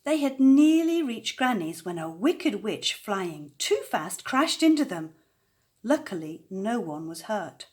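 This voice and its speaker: An older woman telling a children's story aloud with expressive narration. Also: medium pitch, takes it slowly. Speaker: storyteller, grandmother reading aloud.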